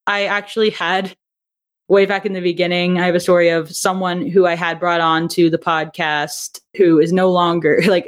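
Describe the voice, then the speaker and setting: A woman speaking as a podcast guest in a conversational interview, telling things in a chatty, animated way. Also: lowish voice. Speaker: podcast guest, audio drama writer and director.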